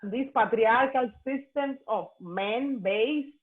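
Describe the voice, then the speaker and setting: A woman's voice: fairly high pitch, slow pace. Cuban-accented woman on a tinny line. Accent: Cuban Spanish. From Cuba. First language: Spanish. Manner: animated, emphatic, slightly broken English. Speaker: podcast host. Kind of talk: casual conversation. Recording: tinny phone-like remote call audio.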